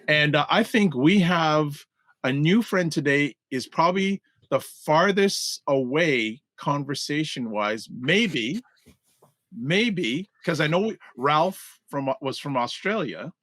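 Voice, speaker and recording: A man's voice: on the high side, expressive, takes it slowly, fairly bright tone, dry recording. A man co-hosting a casual video-call talk show, upbeat, repeating points for emphasis and reading the sponsor message. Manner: upbeat, repetitive emphasis, announcer-like reads. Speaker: co-host. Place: indoor room.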